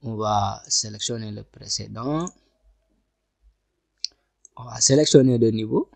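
A man giving a screen-recorded software tutorial, talking through each click step by step and often repeating himself. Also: on the low side, measured pace, gravelly, no room sound. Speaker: software instructor. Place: at a computer, voice over screen capture.

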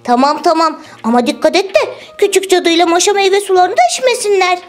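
A woman voicing a big, fatherly bear toy in a children's story, gently reproachful and admonishing. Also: very high-pitched, brisk. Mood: gentle.

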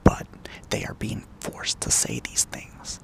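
A man speaking in a hushed, halting, unscripted monologue, breathy and gravelly, with frequent pauses. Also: low-quality recording. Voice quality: breathy whispering with gravelly fry.

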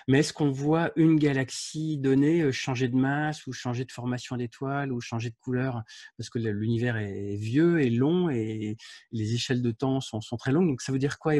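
A man's voice: medium pitch, a little mellow, dry recording, studio quality. A middle-aged man interviewing a guest on a live video call, thinking aloud and rephrasing his questions as he goes. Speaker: interviewer, festival host. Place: study with bookshelves.

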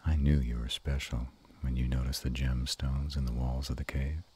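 Guy doing a gruff but soft-hearted dragon boyfriend, super deep n mellow, slow teasing murmur, romance roleplay audio. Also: flat delivery, heavily acted. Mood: loving. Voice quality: very deep and husky. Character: affectionate, bashful, gruff male were-dragon lover.

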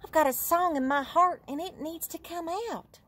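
A woman voicing a spirited little cowgirl with a Southern twang, who sings her silly songs in a bright, drawn-out way. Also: very high voice, voice pushed up. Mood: disappointed. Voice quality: bright, sing-song delivery, drawn-out yodeling yells.

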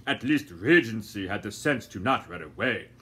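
A man voicing a stern, grumpy gentleman doctor who scolds curtly. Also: on the low side, lively intonation, moderate pace, husky, exaggerated voice. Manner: curt, scolding.

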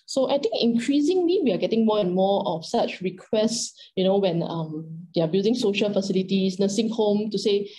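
Female moderator, Singaporean accent, middle-aged, soft dark tone, conversational delivery for an online panel. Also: medium pitch, lively intonation, moderate pace, hardly any pauses, slight background noise, rough recording. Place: indoors, on a video call. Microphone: video call microphone.

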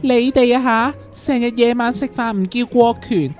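A woman's voice: fairly high pitch, moderate pace, a little mellow, soft background music, lightly acted. A woman playing a dramatic, clingy, lovesick young man with theatrical, whiny delivery in a comic radio drama. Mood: playful, clingy. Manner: theatrical, whiny, repeats words.

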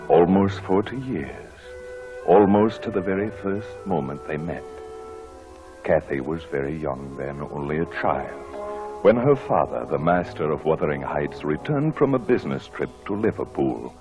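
An actor playing a brooding old gentleman who narrates his memories in a 1940s radio drama. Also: extremely low pitch, animated, unhurried, exaggerated voice. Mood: brooding, wistful. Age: older. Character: haunted, brooding old gentleman recalling the past. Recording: old radio broadcast with organ music.

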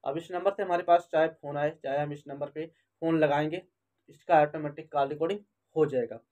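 A man's voice: a little high, steady tone, brisk, a little mellow. A man narrating a step-by-step phone tutorial, repeating instructions and addressing viewers as friends. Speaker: tech tutorial YouTuber and host.

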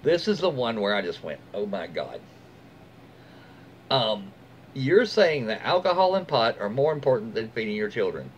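A middle-aged man reads stories aloud at home in an informal, conversational way. His pitch is mid-range and moves around a lot. Clear room noise sits behind his voice. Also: big pitch swings, moderate pace, lo-fi sound. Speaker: story-reading host. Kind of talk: reading forum stories aloud with casual asides. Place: small room at home, seated in an armchair.

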